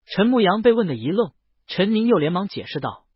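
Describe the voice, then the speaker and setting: A man's voice: sits high, sing-song, a little fast, husky, dry recording. A man reading a romance novel aloud as scripted narration, close to the microphone. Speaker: audiobook narrator. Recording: dull, lo-fi, close dry sound.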